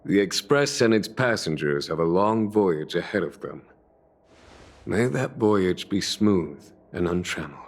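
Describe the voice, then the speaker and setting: An actor playing a composed, courteous military general in a fantasy game, speaking in formal, measured phrases. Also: male voice, deep voice, animated, exaggerated voice. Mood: gracious. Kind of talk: scripted game voice acting. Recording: background music.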